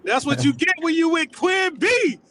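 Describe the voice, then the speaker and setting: A man chatting casually as a guest, animated and quick to hype and laugh. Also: very high-pitched, a crowd in the background. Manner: animated, laughs and hypes often. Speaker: guest, music producer. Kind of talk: casual chat. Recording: faint background noise.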